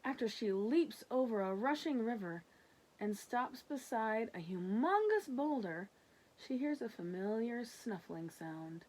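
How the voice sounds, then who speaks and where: A woman reading a children's picture book aloud in an expressive way, with casual asides. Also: a little high, rough recording. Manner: animated, unhurried narration. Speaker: storybook reader.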